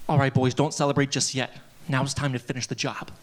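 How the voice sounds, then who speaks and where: A man's voice: medium pitch, level tone, fast talker, close to an everyday voice. A man reading the part of a bossy teenage boy who leads his friends like a drill sergeant, at a live table read. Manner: commanding, drill-sergeant briefing. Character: bossy self-appointed teen boy leader. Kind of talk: live table read of a horror comedy script.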